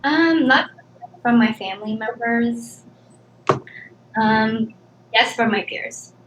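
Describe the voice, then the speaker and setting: A woman in her twenties, from the Philippines, answering interview questions softly and hesitantly, with many pauses and ums. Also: a little high. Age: 20s. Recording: echoey room, faint background noise.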